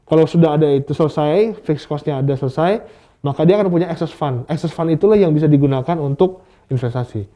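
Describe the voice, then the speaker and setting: Mellow, higher-voiced man explaining casually. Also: quick pace. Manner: conversational, explains with acted-out questions and examples. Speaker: guest expert on stock market investing. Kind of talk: casual explanatory interview talk.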